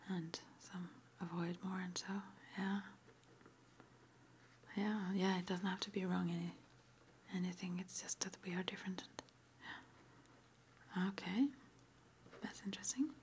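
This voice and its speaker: A woman whispering softly and breathily as an interviewer in an ASMR roleplay, murmuring little acknowledgements between her questions. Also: lowish voice, little pitch movement, a little slow, pauses often, a little hiss or hum. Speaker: interviewer at a witch school.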